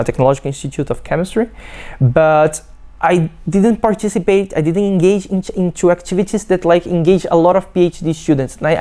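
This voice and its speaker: A young man from Brazil with a Brazilian Portuguese accent, a PhD student giving a halting testimonial full of fillers and restarts. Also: on the high side, darkish tone, smooth. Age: young adult. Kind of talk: testimonial interview.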